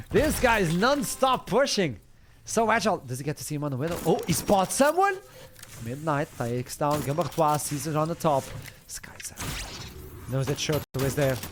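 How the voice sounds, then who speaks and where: Excitable esports caster. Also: male voice, on the high side, noisy background. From Portugal. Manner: excitable, builds to shouts at big plays. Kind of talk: play-by-play esports commentary.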